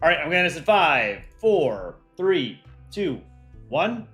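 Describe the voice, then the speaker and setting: A man's voice: on the high side, very animated, a little slow, fairly bright tone, faint music behind. A man hosting an art contest on a livestream, reading out viewer chat and answering it offhand in casual talk.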